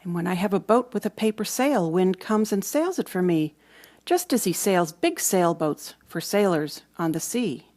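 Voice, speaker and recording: A woman reading a storybook aloud for children, with dramatic emphasis and hushed moments. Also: on the low side, big pitch swings, moderate pace. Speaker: storybook reader. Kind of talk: expressive children's read-aloud narration.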